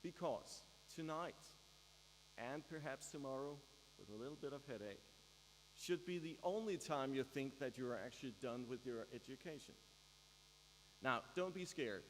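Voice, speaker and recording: A man with a Danish accent delivers a prepared ceremonial speech at a measured pace, with a dry, wry humor. His voice rings through a large hall with a long reverb tail. A faint electrical hum sits underneath. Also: mid-range voice, expressive. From Denmark. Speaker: graduation speaker.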